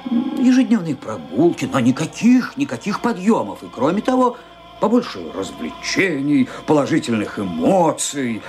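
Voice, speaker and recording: Casting: fussy male family doctor, very animated intonation, emphatic, radio drama over clear music. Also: mid-range voice, normal speed. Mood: insistent. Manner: repetitive, emphatic. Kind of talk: radio play acting. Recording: radio play with music behind.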